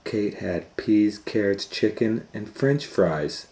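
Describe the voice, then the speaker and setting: A man reading a children's storybook aloud as host, in an animated storytelling style. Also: lowish voice, slow pace. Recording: roomy echo.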